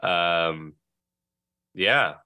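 Canadian woman co-host, deep low voice, dry close recording, laid-back and quick with a laugh. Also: bright, forceful tone, no echo. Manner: easygoing, laughs often, short quick replies. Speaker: podcast co-host. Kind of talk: casual chat.

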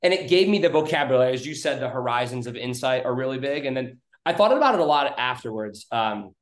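Podcast host: man, mid-pitched voice, informal, blunt and sweary, thinking aloud in a reverberant room. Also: expressive, fast talker. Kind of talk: casual podcast chat. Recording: echoey room.

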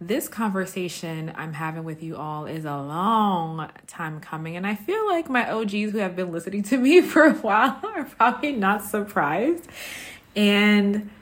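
A woman with a slightly low voice speaks as the host of a personal podcast. Her delivery is very unhurried and reflective, broken into short phrases with pauses between them. The style is conversational, as in a solo monologue to her listeners.

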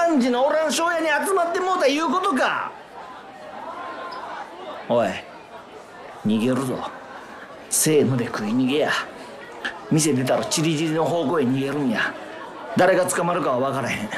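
A man playing an indignant loser with a Kansai accent in a comic radio drama, ranting in exasperated rhetorical questions. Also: high-pitched. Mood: low, conspiratorial. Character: indignant loser man ranting at his parallel-world selves.

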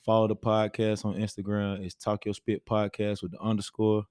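American man, podcast host, casual slangy talk, flat intonation, dry recording. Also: on the low side, normal speed, clear, resonant tone, dry recording. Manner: informal, slangy.

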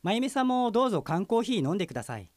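Man playing courteous junior detective, lively pitch. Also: rapid-fire, no room sound. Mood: polite, inquiring. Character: courteous male junior detective, curt with his lewd boss. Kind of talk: audio drama dialogue.

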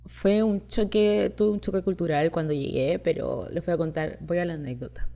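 A woman's voice: fairly low pitch, moderate pace, vocal fry, mellow. A young woman, raised in Chile, hosting a podcast and telling personal stories in a chatty, confiding way, laughing often. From Peru and Chile, raised in Chile. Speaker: podcast host. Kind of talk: casual personal storytelling.

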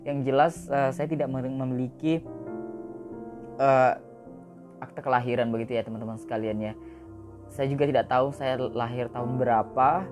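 A man's voice: medium pitch, fairly even intonation, moderate pace, long pauses, over music. A young man hosting an audio podcast, telling his own story in a casual motivational monologue, chatty and full of fillers, dropping in English words. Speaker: podcast host. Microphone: audio podcast recording.

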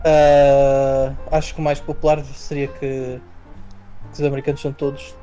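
A man asking questions in a casual interview, feeling his way haltingly through his sentences. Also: mid-range voice, completely flat delivery, mellow, faint music behind.

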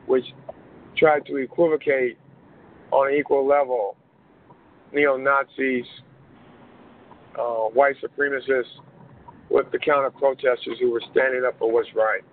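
A man, a pastor being interviewed, speaking in a measured, earnest way with many pauses. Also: mid-range voice, little pitch movement. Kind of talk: interview conversation. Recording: muffled phone line, faint hiss.

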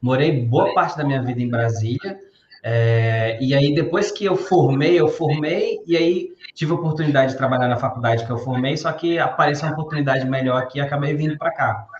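A man from Ceará talks in a relaxed way on a podcast and keeps repeating phrases as he thinks. His voice sits in a middle range. The audio is roomy and a little dull, like a remote call. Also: medium pitch, fairly quick, slight background noise. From Ceará, Brazil. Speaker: podcast guest, biomedical course coordinator. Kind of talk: casual podcast chat.

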